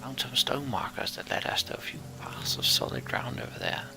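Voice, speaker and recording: A man's voice: medium pitch, big pitch swings, moderate pace, gravelly, whispered, over music, voice pushed up. A man voicing a matter-of-fact scout giving a report in a fantasy game.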